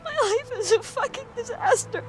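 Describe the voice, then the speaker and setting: A woman's voice: very high-pitched, expressive, moderate pace, slight background noise. An actress voicing a young homeless woman in a video game, worn out and tearful. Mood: despairing. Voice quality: tearful, strained.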